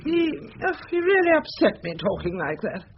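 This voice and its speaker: An actress playing a loyal, prim, reproving older English wife in British radio drama, proper, firm and matter-of-fact. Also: female voice, a little high, very animated, moderate pace. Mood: indignant, loyal. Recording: dull, slightly noisy radio recording.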